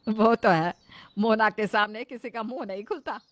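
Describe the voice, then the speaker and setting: A woman's voice: fairly high pitch, moderate pace, lightly acted. A woman playing a mild, simple middle-aged mother-in-law in a cartoon moral story, cautious and homely. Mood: amused, gossipy.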